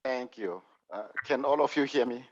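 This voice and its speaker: A man with a Congolese accent, a clergyman giving a measured presentation over a video call, with many "uh" and "um" fillers. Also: mid-range voice, dry recording. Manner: measured, with frequent "uh" and "um". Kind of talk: formal online presentation. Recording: dull, dry video call audio.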